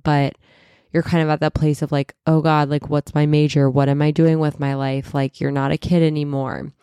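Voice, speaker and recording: A woman in her thirties hosting a casual solo podcast, chatty and full of "like"s, a little stuffy and raspy from a cold. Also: low-pitched, little pitch movement, moderate pace, dark tone, no room sound, studio quality. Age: 30s. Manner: chatty, many "like"s and self-corrections. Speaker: podcast host and wellness coach.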